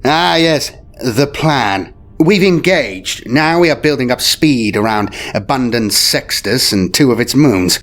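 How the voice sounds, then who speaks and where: A man playing a commanding, animated space admiral. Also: medium pitch, voice pushed up. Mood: animated, confident. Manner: declamatory, clipped military phrases with weighty pauses. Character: commanding, shrewd veteran space fleet admiral prince. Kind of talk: dramatic audio story character. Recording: music behind the voice. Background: music.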